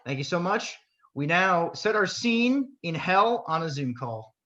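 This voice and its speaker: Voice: mid-range voice, big pitch swings, a little slow, fairly bright tone. Muffled, noisy announcer introducing a play.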